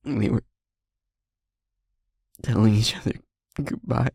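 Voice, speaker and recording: A man playing a guilt-ridden young werewolf boyfriend in an intimate audio roleplay, speaking haltingly and rawly in a husky, creaky, breathy voice. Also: lowish voice, steady tone, darkish tone, no echo, studio quality, heavily acted. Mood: grieving, hopeless. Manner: halting, emotionally raw, broken-up phrases.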